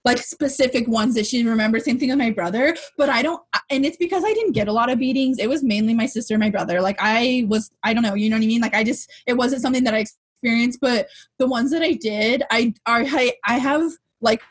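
Young woman, podcast co-host, loud husky voice, highish pitch, candid casual chat, rambling, sweary. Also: fast talker. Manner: talkative, rambling, frank, swears freely.

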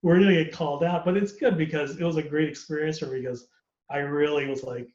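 A man's voice: a little high, fairly even intonation, fast, darkish tone, roomy sound, dull audio. A man, an actor, talking candidly and casually on a podcast, rambling and laughing often. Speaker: actor guest.

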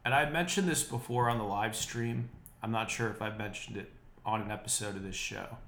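A man's voice: lowish voice, a little fast, vocal fry, a bit bright, reverberant. A man hosting his own podcast, talking through the news in a wry, opinionated, rambling way, his voice a bit nasal and congested. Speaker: podcast host. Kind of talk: casual solo podcast monologue. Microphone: unprocessed podcast mic.

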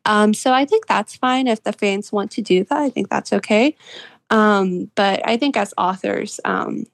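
Female interview guest, author type, gravelly vocal fry, close dry sound, considered and halting conversational delivery. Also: mid-range voice, no room sound. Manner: halting, pausing to consider points. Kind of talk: casual interview discussion.

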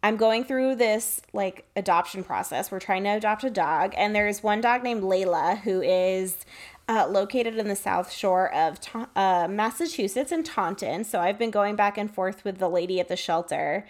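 Chatty woman telling an animated story. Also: medium pitch, moderate pace. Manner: animated, chatty, reading emails aloud dramatically. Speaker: podcast co-host. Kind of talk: casual conversational storytelling.

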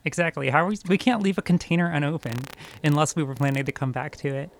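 A man's voice: fairly high pitch, quick pace, gravelly, slight background noise. A man playing a tabletop RPG, talking casually in a hesitant, thoughtful way. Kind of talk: casual game chat.

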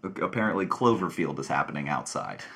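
A man's voice: a bass voice, expressive, fast talker, vocal fry, a bit bright. A man hosting a podcast quiz game in casual chat, teasing and laughing often. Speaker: podcast host and quizmaster.

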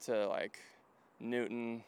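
A man's voice: medium pitch, level tone, slow, gravelly, smooth. A man from Hungary chatting casually as a podcast guest outdoors, telling things in a rambling way peppered with fillers. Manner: rambling, filler-heavy storytelling with frequent "like". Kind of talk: casual chat while walking. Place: outdoors, walking to a lookout.

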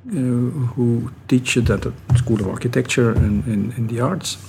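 A man's voice: medium pitch, fairly even intonation, vocal fry, a little mellow, a little hiss or hum. Middle-aged man with a Dutch accent. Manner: thoughtful, halting, often restarting phrases. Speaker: guest academic. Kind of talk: reflective interview talk.

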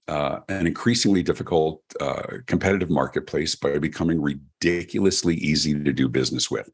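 A middle-aged man from Denver with a deep voice, telling his story as a guest on an interview show. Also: breathy edge. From Denver, Colorado, USA. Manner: animated storyteller, chatty with restarts. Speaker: keynote speaker and guest. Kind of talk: podcast interview.

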